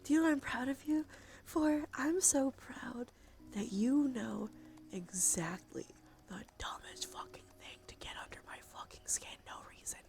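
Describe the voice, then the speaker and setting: A woman livestreamer talking casually in a soft, breathy whisper with vocal fry, in an informal ASMR game stream style. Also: sits high, lively intonation, moderate pace. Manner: sweary, informal. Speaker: livestreamer and host.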